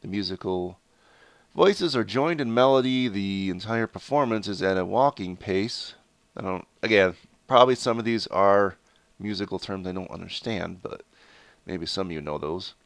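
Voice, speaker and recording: A man with a low, nasal voice, his head blocked up by a bad cold, rambles through casual gameplay commentary. His tone stays smooth and even despite the congestion. He's recorded on a close desk mic in a dry, dead room. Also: normal speed, no echo. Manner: rambling, with many fillers and self-corrections. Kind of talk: casual let's play commentary.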